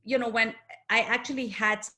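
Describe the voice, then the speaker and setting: An Indian-accented woman psychologist speaking on an online panel. She talks earnestly and slows down to stress the words that matter most. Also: fairly high pitch, steady tone, pushed and bright.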